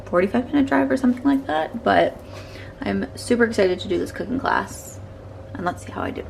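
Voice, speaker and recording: A woman's voice: mid-range voice, animated, a little fast, vocal fry. A young woman from the United States talking casually to her camera in a travel vlog, chatty and tired but upbeat. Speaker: travel vlogger.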